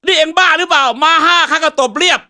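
A man's voice: very high voice, fairly even intonation, fast talker, pushed and bright, full character voice. A man voicing a cocky, trash-talking country tough guy as a comic game announcer, boastful and mocking with slangy swagger. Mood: excited, shouting.

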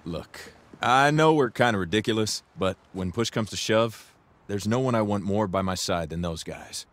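A man's voice: fairly low pitch, moderate pace, fairly bright tone, lightly acted. A man playing a cocky, easygoing young crime boss in a video game cutscene, talking casually with wry, profane ease. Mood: sincere.

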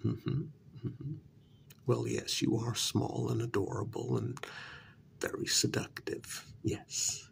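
Slow, creaky, half-whispering ASMR man. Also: mid-range voice. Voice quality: breathy, half-whispered, gravelly. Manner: slow, pausing, repeats words soothingly. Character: smooth, flattering talent agent to supernatural creatures. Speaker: ASMR role-play performer. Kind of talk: soft-spoken ASMR role-play.

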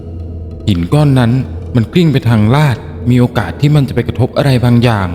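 Audio drama role: terse, troubled young man, voiced by a man narrating, mellow tone, music underneath. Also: moderate pace, big-room sound, close to an everyday voice. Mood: uneasy calm. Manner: muttering, low-key, terse. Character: grim, puzzled young man, stranded time traveler.

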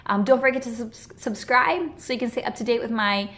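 A woman's voice: fairly high pitch, fairly quick, fairly bright tone. A woman in her thirties vlogging from her living room couch, earnest and heartfelt, a little scratchy from a chest cold, with many ums and restarts. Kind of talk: casual direct-to-camera vlog.

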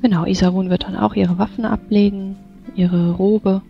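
A woman's voice: mid-range voice, flat delivery, moderate pace, vocal fry. A woman narrating a tabletop roleplaying game as game master. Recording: slightly dull, music behind. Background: soft music.

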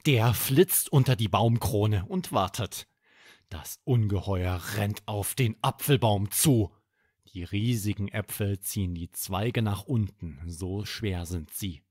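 A man hosting a children's podcast, narrating a bedtime story in an expressive, dramatic way. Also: fairly low pitch, slow, slightly rough, no echo, clean studio sound.

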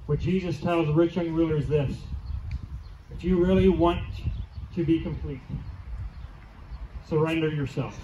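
A man's voice: a little high, dark tone, highs cut off, a little hiss or hum. Man preaching outdoors over a PA. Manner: conversational preaching, asks the crowd questions, repeats key phrases. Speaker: teaching pastor. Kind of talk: outdoor sermon. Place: church lawn outdoors, congregation under a tent. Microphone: handheld mic through PA speakers, filmed from afar. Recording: distant, roomy outdoor PA sound.

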